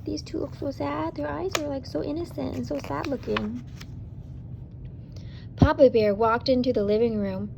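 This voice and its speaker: A woman reading a children's story aloud with deliberate, dramatic emphasis, speaking directly to young listeners. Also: high-pitched, fairly even intonation, vocal fry, heavy background noise. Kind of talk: expressive children's read-aloud with asides to listeners.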